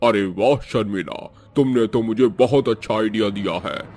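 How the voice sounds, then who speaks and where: A man's voice: fairly low pitch, moderate pace, a little mellow, close to an everyday voice. Man playing a commanding male ghost. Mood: enthusiastic. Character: commanding male ghost husband bent on revenge. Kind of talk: animated cartoon story acting.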